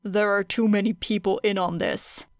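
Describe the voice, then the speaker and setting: A woman's voice: mid-range voice, steady tone, moderate pace, dry recording, studio quality, close to an everyday voice. A woman voicing a weary, guarded male teacher who speaks tersely in an audiobook story. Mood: dark, wary. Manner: muttering, terse, dark.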